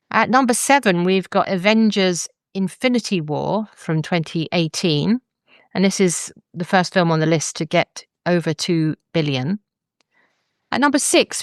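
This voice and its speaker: A woman's voice: lowish voice, clean tone, dry recording, clean studio sound. A woman hosting a podcast in a conversational presenting style. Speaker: podcast host.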